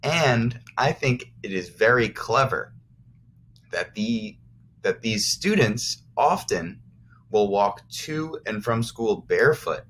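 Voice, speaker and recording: A man's voice: lowish voice, animated, takes it slowly, fairly bright tone, slight background noise, poor audio. An American man, a teacher of young children, reading aloud and explaining simply as he addresses the children directly. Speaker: first grade teacher.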